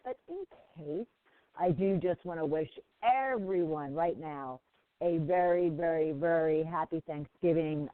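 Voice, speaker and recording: A woman's voice: sits low, dry recording. A woman hosting a call-in radio show while sick, talking loosely with many ums and restarts. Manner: rambling, with frequent ums and restarts. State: sick, slightly congested. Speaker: talk radio host. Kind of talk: casual call-in radio talk. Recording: tinny dry phone line.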